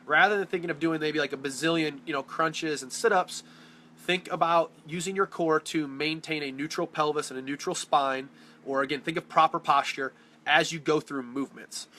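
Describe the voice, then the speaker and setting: Male fitness coach, blunt and direct, stuffy congested voice, bright tone, webcam live stream. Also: on the high side, fairly even intonation, moderate pace, a little hiss or hum. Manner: direct, blunt, answers viewer questions by name. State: congested from a cold. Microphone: phone or webcam on a live stream.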